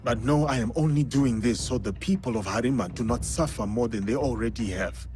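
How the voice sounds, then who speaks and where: An actor playing a stoic, honorable samurai in a video game, speaking in a terse, grave and formal manner. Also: male voice, medium pitch, fairly quick, a little mellow, close to an everyday voice. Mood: grave, earnest. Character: stoic, honorable male samurai warrior, principled and guarded. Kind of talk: video game cutscene acting.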